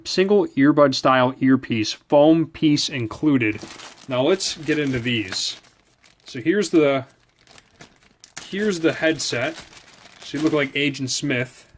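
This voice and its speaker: A man's voice: fairly high pitch, takes it slowly, slight background noise. A man doing a casual, unscripted product review, rambling informally and thinking aloud as he handles things. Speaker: product reviewer hosting a video channel. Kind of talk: casual unscripted unboxing chat.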